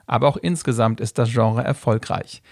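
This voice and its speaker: A man narrating a scripted radio documentary in a measured, factual way. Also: mid-range voice, steady tone, gravelly, dry recording, studio quality.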